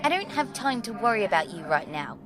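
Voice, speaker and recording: A woman's voice: mid-range voice, sing-song, brisk, natural voice. A woman playing a guarded, bossy big sister in an audio drama, snappish and defensive. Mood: defensive, irritated. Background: music.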